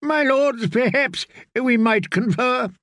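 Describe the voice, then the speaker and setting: A man voicing a dignified, diplomatic noblewoman in a fantasy audiobook, measured and formal. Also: extremely high pitch, a bit bright, no room sound, studio quality, pitched above the performer's own voice, exaggerated voice. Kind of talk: dramatic audiobook character voice.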